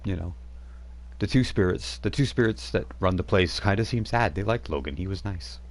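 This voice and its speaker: A man narrating as game master, relaxed and halting, with frequent fillers. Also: deep voice.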